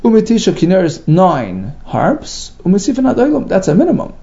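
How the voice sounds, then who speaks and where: Creaky sing-song man teaching Talmud. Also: high-pitched, huge pitch swings, moderate pace, mellow. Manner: sing-song, questioning, reads Hebrew then explains. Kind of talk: Talmud study lesson, reading and translating. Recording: dull lo-fi recording.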